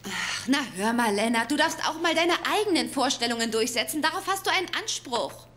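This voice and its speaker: Eine Schauspielerin spielt eine lockere, direkte junge Nachbarin in einer synchronisierten Sitcom. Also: female voice, high voice, animated, brisk, a bit bright. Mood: breezy, encouraging. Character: breezy, frank young woman next door. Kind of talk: dubbed sitcom acting. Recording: very noisy TV soundtrack.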